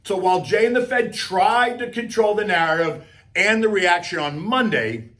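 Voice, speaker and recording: A man with a scratchy, hoarse voice giving emphatic, conversational market commentary as a host, full of rhetorical questions and folksy asides. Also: sits high, animated, moderate pace, a bit bright. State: hoarse, losing voice. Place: outdoors.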